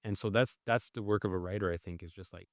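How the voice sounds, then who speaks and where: Low-voiced man, thoughtful guest, dry sound. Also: steady tone, quick pace, dry recording. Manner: thoughtful, with many filler "like"s and restarts. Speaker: guest, writer and journalist. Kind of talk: conversational interview answers.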